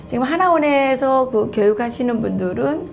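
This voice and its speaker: A woman from North Korea hosts a talk show interview. Her voice is gravelly and somewhat high, with a very lively pitch. She keeps up frequent affirming interjections. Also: moderate pace. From Pyongyang, North Korea. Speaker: host.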